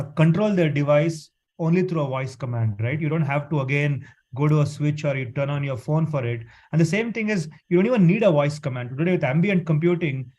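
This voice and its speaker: A man's voice: on the high side, darkish tone. A man, speaking as a panelist in a webinar discussion, talking conversationally and often tagging on "right?". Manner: conversational, frequent "right?" tag questions.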